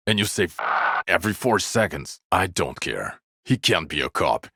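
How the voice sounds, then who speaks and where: Worn out sarcastic middle aged cop for a game, super deep n gravelly, blunt as hell. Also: male voice, pushed and bright, no room sound, studio quality, exaggerated voice. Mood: exasperated. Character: jaded, sardonic middle-aged male police detective. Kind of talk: video game voice acting.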